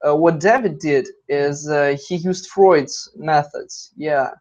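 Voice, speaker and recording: A man with a Russian accent chatting slowly and hesitantly as a player in an online game. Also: on the high side, a little mellow. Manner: slow, hesitant, with many fillers. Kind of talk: casual chat. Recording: dull lo-fi sound.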